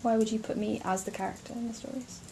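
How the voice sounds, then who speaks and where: A woman's voice: fairly high pitch, fairly even intonation, fast talker, gravelly, mellow, a little hiss or hum. A young woman interviewing a relative about bedtime stories, encouraging and laughing often. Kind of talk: casual family interview.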